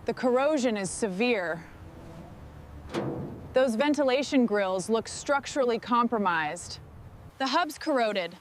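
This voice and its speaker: Actress as determined female mechanic, fairly high voice, terse orders, scripted workplace drama, loud machinery noise. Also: lively intonation, a little slow, pauses often. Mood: focused, appraising. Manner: terse, focused, talking to herself and the machine. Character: determined hands-on female mechanic leading a heavy machinery restoration crew. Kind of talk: scripted workplace dialogue with muttering and commands.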